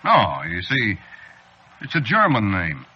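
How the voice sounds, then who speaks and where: A man's voice: on the low side, wildly swooping pitch, slow pace, slightly rough, full character voice. An actor playing a folksy, evasive, faintly ominous bartender in a 1940s radio drama, drawing out his words. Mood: folksy, teasing. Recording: dull, hissy old radio recording.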